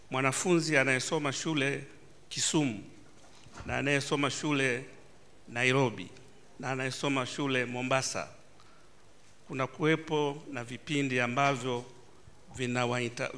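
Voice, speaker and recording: A man from Tanzania, answering on a formal panel in a halting manner with formal greetings. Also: mid-range voice, pushed and bright. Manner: halting, many fillers and restarts, formal address. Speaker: panelist, government official.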